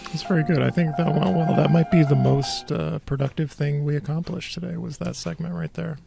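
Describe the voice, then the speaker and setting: A man hosting a casual interview chat with dry, deadpan humor and a gravelly, husky voice. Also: a little high, a little fast, over loud music. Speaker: host.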